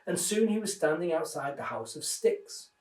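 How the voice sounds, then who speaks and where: A man reading a children's story aloud in an expressive, dramatised way. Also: a little high, normal speed. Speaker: minister reading children's stories. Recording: roomy echo, distant microphone.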